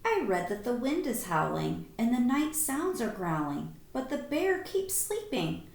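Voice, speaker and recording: A woman reading a picture book aloud to children like a teacher, expressive and deliberate, pausing to explain. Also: fairly high pitch, gravelly. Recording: roomy echo.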